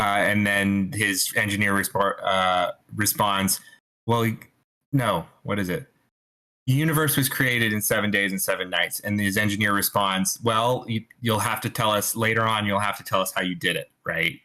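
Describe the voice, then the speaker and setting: Bright-voiced man explaining, halting and casual. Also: on the low side, poor audio. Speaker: participant giving writing advice.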